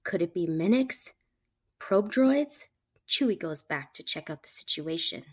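A woman reading a picture book aloud to children, with animated, emphatic storytelling delivery. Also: on the low side, a little slow, husky. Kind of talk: expressive read-aloud narration for children.

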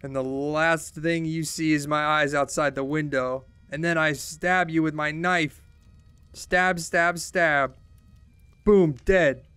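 A man's voice: a little high, fairly even intonation, slow pace, a bit bright, clear, resonant tone, dry recording, soft background music. A man voicing a drunk young college dropout from a game, crude and maudlin, rambling in slangy, self-deprecating bursts. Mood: mock menacing.

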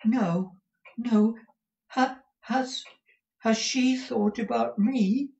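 Timid young man, high-pitched, stammering, tearfully eager, storybook character, distant roomy recording. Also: very slow, creaky, a little mellow, muffled recording, full character voice. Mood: tremulous hope. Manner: stammering, breathless repetition of words.

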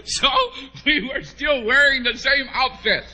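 A middle-aged American man preaching, telling a humorous story to a congregation in an animated way with drawn-out emphasis. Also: very high voice, poor audio. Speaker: preacher, bishop. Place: large church hall, at a pulpit before a congregation. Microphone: podium microphone on a stand.

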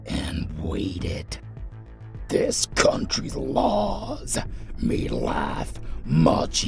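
An actor playing an ancient, weakened vampire lord in a dark audio drama, bitter and menacing, speaking in a strained, theatrical half-whisper. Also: male voice, rumbling low, vocal fry, heavily acted. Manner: slow, theatrical, drawn-out. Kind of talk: dark fantasy audio roleplay. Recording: music bed under voice.

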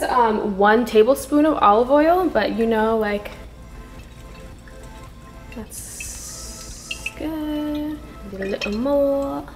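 Young woman, informal home-cooking vlogger, fairly high voice, leisurely delivery, chatty. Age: 20s. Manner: informal, chatty, talks affectionately to pets. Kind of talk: casual vlog chat while cooking. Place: home kitchen, indoors. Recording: echoey room, music behind.

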